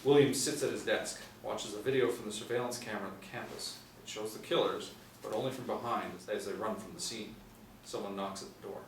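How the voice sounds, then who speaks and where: A man's voice: fairly low pitch, steady tone, fairly quick. Male narrator, 40s, reading script directions; roomy, distant mic with faint noise. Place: small indoor room. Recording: echoey room, distant mic, background noise.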